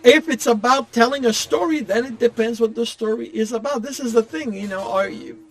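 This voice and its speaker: A man chatting casually while playing a game, explaining his thoughts in a halting, rambling way. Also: extremely high pitch. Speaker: game streamer. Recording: game music faintly behind the voice. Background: faint video game music.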